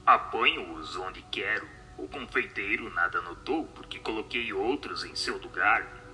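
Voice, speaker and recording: A man's voice: on the low side, measured pace, bright, forceful tone, rough recording. A man voicing a solemn, assured spirit who answers questions in a radio drama.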